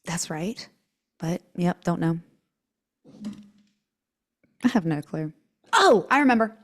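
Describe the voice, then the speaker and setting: A woman's voice: fairly low pitch, very animated, takes it slowly. A woman hosting a podcast interview, outspoken and chatty, who laughs often and loses her train of thought. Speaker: podcast host. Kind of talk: casual interview chat.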